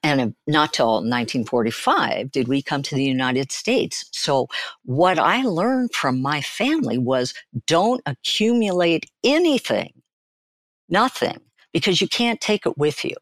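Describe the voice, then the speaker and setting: Older woman with slow, swooping delivery. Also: low voice, lively intonation, no echo. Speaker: guest, therapist and author. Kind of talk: personal storytelling in an interview.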